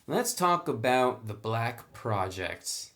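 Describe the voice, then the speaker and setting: A man with a mid-pitched voice talks through a review in a casual, conversational way. He sounds enthusiastic about his material, explaining it informally as he goes. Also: big pitch swings, slow, fairly bright tone, faint music behind. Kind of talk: casual tabletop rulebook review.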